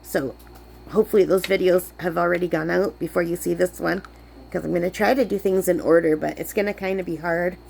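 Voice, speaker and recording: A sick woman, chatting in a rambling way while crafting, her voice lower than usual. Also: fairly even intonation, a little fast, creaky, darkish tone, a little hiss or hum, low-quality recording. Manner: chatty, rambling, many fillers. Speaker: crafting vlogger. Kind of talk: casual chat while crafting. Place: camp cabin indoors.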